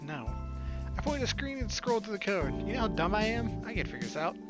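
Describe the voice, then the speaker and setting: A man's voice: sits high, very animated, brisk. A young man streaming a visual novel, reading its narration aloud with casual, joking asides. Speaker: let's play streamer. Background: game music.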